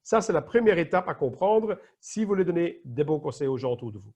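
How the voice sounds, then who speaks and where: A middle-aged man with a Flemish accent gives an explanatory training talk, now and then hunting for the right word. His voice sits a little high and has a husky, breathy edge. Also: big pitch swings, fairly quick, a little mellow. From Flanders, Belgium. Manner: explanatory, searches for words at times. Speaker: emergency nurse and wellness trainer. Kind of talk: online training presentation.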